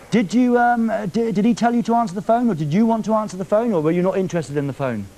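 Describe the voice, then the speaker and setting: A man's voice: high-pitched, fairly quick, mellow, a little hiss or hum. A man hosting a live TV show, teasing a caller on the phone with cheeky questions. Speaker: TV presenter. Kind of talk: live TV prank phone call. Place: TV studio.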